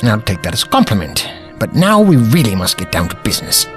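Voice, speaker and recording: An actor playing an eccentric, self-assured older time traveller in an audio drama, with pompous, precise, formal diction. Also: male voice, lowish voice, huge pitch swings, a little fast, soft background music. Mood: wry, self-assured.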